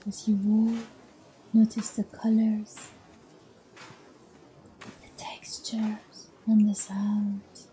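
A woman leading an ASMR guided meditation in a soothing, unhurried, mostly whispered delivery. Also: medium pitch, completely flat delivery, warm tone, slight background noise, low-quality recording.

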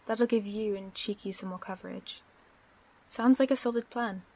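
A woman's voice: medium pitch, moderate pace, dark tone, breathy edge. A British woman playing a sly, teasing supervillain in an audio roleplay, wry, knowing and probing. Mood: wry, confident.

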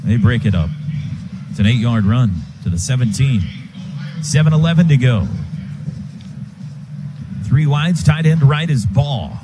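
An American man calling live football on the radio as play-by-play announcer, in clipped phrases that build toward excited calls. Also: medium pitch, unhurried, long pauses. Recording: stadium booth with loud crowd noise.

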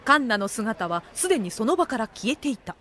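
A woman's voice: fairly high pitch, big pitch swings, brisk, pushed and bright. A woman narrating a comic audio drama from a script. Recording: music behind the voice.

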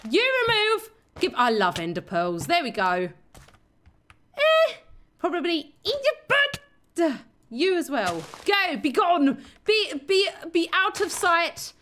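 Hoarse, giggly woman gaming. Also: extremely high pitch, huge pitch swings, takes it slowly, a bit bright, slight background noise. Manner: chatty, giggly, talks to herself and the viewers. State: hoarse, losing her voice. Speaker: game channel host. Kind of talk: casual Minecraft let's play commentary.

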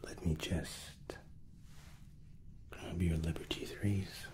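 A man in his thirties whispering softly and courteously as a flight attendant in an ASMR roleplay, close to the microphone. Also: low-pitched, steady tone. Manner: soft, slow, courteous attentive steward.

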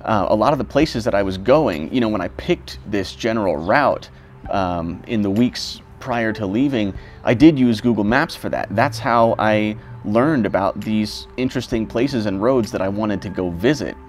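A young man talking casually about his motorcycle trip, relaxed and rambling with fillers and self-corrections. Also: fairly low pitch, moderate pace, soft background music. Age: young adult. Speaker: motorcycle vlogger. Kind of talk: casual reflective vlog talk.

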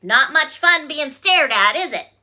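A woman puts on a plump little storybook frog with a small, croaky voice. It gives friendly, wise advice in a bright, lilting way. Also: high-pitched, animated, a little slow, pitched above the performer's own voice, heavily acted. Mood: wry.

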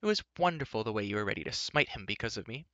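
A man voicing a lively, outspoken young woman who chatters and teases. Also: on the low side, rapid-fire, no echo. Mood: teasing.

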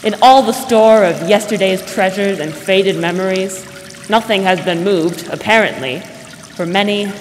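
A woman's voice: medium pitch, measured pace. A young woman narrating a scripted radio drama in 1940s style as announcer on a stage. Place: large theatre stage, indoors. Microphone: stand microphone. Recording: reverberant stage hall, faint noise.

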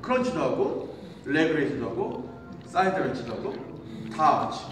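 Man in his thirties, fitness coach, fairly high voice, echoey gym, far from the camera. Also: moderate pace, background noise. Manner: animated, demonstrating with gestures while talking. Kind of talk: explanatory exercise lesson. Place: large indoor gym.

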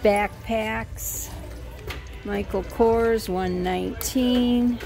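A woman with a gravelly, unhurried voice narrates a shopping trip, reading prices aloud as she browses a retail outlet. She sounds casual and thinking out loud. Clear music plays through the store behind her. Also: a little high, steady tone. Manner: slow, musing price read-outs while browsing. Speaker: shopping vlogger. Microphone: handheld phone camera.

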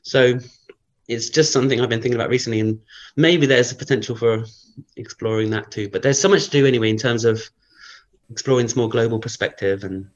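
A man, a guest researcher, thinking aloud in a casual interview, rambling with many fillers, his voice croaky from early morning. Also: medium pitch, fairly quick, dull audio.

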